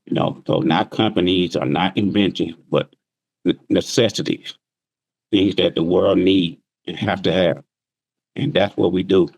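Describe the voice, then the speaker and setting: A man's voice: low-pitched, steady tone, unhurried, a little mellow, breathy edge, no room sound. A man teaching trading, explaining plainly with everyday examples on a remote call. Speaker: trading instructor. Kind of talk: casual interview answers.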